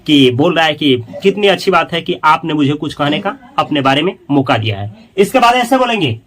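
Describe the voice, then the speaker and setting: A man with a high voice teaches a spoken English lesson. He explains in Hindi and says each English model sentence slowly and carefully. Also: animated, fairly bright tone.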